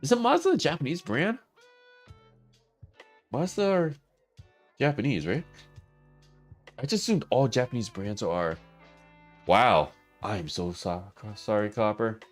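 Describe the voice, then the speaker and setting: A hoarse man, chatting casually with viewers on a livestream and laughing often. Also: medium pitch, very animated, unhurried, long pauses, faint music behind. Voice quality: raspy. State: hoarse, losing his voice. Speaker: streamer.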